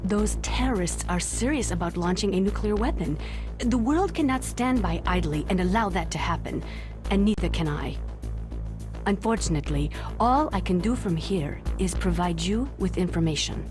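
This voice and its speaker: An actress playing an earnest, formal female military analyst who speaks in a measured, serious way in a video game. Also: lowish voice, animated, music in the background, lightly acted. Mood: grave, resolute. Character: earnest, resolute female nuclear weapons analyst. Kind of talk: dramatic video game voice acting.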